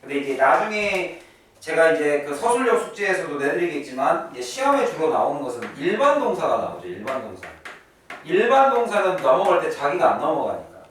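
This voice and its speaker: Echoey, animated male English teacher. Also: on the high side, a little fast. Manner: emphatic, repeats key terms, asks and answers own questions. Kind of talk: classroom-style grammar lecture. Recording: echoey room, distant mic, faint noise.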